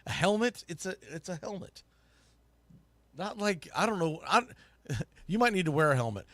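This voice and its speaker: A man's voice: sits high, very animated, moderate pace, fairly bright tone, no echo. A man hosting a live sports talk podcast, speaking casually and emphatically with informal, opinionated, mildly salty phrasing.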